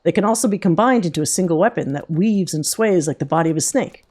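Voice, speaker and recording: A woman's voice: fairly low pitch, lively intonation, fast talker, darkish tone. A woman playing a folksy, confident monster-hunter mentor. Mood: instructive. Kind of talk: reading game dialogue in character.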